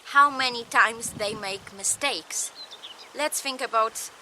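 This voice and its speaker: Polish-accented lady lecturing real slow, super bright voice, bit of hiss behind. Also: on the high side. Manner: slow, measured advice in short phrases. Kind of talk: recorded lecture.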